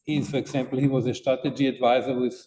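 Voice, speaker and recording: A man with an Austrian accent giving a conference talk, speaking haltingly with many fillers and restarts. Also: medium pitch, flat delivery, mellow. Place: conference hall. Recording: distant mic with faint noise.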